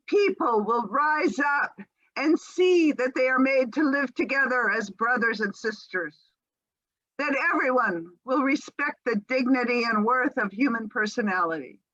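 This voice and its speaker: A woman giving a theatrical reading of a speech in a measured, declamatory way. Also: high voice, bright, forceful tone, no echo, muffled recording, exaggerated voice. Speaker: amateur stage reader.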